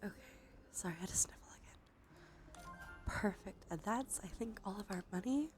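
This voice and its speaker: A woman streamer chatting in a soft, breathy, often whispered ASMR style, murmuring gently as she thinks aloud. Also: medium pitch, moderate pace. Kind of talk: cozy ASMR game stream chat. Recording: soft background music. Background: music.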